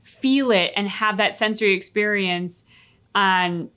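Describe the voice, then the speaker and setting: Female reaction co-host, medium pitch, conversational and reflective, leaning on key words. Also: measured pace, sharp tone, smooth. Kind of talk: casual reaction chat.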